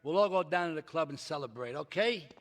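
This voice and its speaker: A high-pitched, swooping older Italian-American gangster don, with a New York accent. He is expansive and bossy, flipping from fatherly affection to scolding, like a crime game cutscene. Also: animated, a little fast, fairly bright tone. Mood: jovial, paternal. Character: older Italian-American mob boss, jovial, bullying, paternal. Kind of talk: video game cutscene acting.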